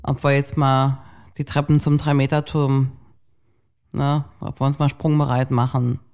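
A woman's voice: extremely low pitch, little pitch movement, unhurried, darkish tone, clean tone. A woman in her thirties co-hosting a casual podcast chat, blunt and outspoken, with casual swearing. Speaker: podcast co-host.

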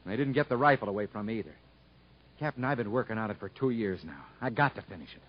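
Muffled 1950s radio drama, intense convict tinkerer, rural American accent, husky, ungrammatical, earnest. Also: male voice, lowish voice, lively intonation, fast. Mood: pleading, insistent. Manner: folksy, ungrammatical, earnest speech. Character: stubborn, self-taught convict gunsmith, plain-spoken and intense.

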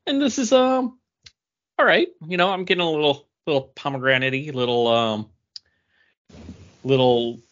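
A man's voice: fairly high pitch, moderate pace, pauses often, fairly bright tone, lo-fi sound. A man co-hosting a podcast in casual chat, rambling through tentative asides. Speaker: podcast co-host.

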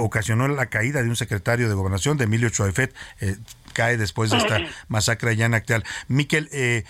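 Middle-aged Mexican radio host, chatty interviewer, dry studio sound. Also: male voice, mid-range voice, a bit bright, no echo. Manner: conversational, frequent restarts and fillers.

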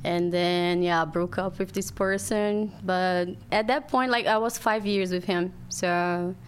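A woman with a Brazilian accent, a native Portuguese speaker, chatting casually as a podcast guest in slightly halting English. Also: lowish voice, steady tone, slight background noise. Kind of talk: casual chat.

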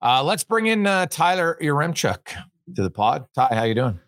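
A man's voice: a little high, sing-song, moderate pace, a bit bright, dry recording, studio quality. Hesitant man rambling through hockey takes. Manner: rambling, hesitant with many fillers. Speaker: podcast host. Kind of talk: casual sports podcast chat.

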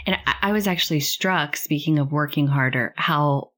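A woman's voice: deep voice, moderate pace, a bit bright. A woman interviewing in a conversational, affirming way, relating what she hears to her own experience.

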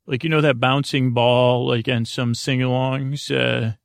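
A man's voice: mid-range voice, gravelly, no echo, studio quality. A man hosting a sleep podcast, rambling through a meandering bedtime monologue with many restarts and self-interruptions.

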